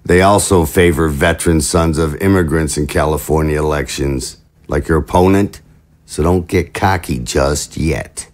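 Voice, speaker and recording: A man's voice: rumbling low, moderate pace, a little mellow. A man playing a jovial, scheming political chief of staff in an audio drama, with a glib, jocular, theatrical manner. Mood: breezy, teasing.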